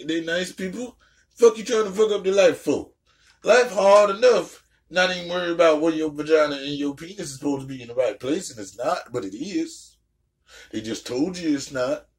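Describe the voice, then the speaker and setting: A man chatting casually as a reaction host, blunt, slangy and profane, often repeating words for emphasis. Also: on the high side. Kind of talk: casual chat reacting to a story.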